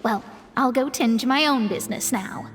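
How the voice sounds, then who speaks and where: A woman's voice: a little high, normal speed, long reverb tail, faint music behind, close to an everyday voice. A woman voicing a proud, studious young astrologer in a video game, explaining things in a precise, self-important manner. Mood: sheepish. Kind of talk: animated game dialogue acting.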